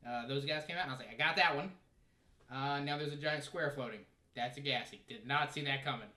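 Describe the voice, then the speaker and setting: A man's voice: mid-range voice, brisk, sharp tone. A man co-hosting a podcast in casual panel chat, recorded with roomy echo and some noise. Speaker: co-host of a gaming news podcast.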